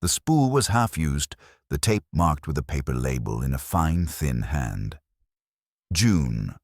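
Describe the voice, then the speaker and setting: A man with an extremely low voice narrates a detective story in a close, dry recording. His delivery is measured and dryly understated, yet the pitch moves in lively swings. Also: dry recording. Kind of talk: scripted literary narration with character dialogue.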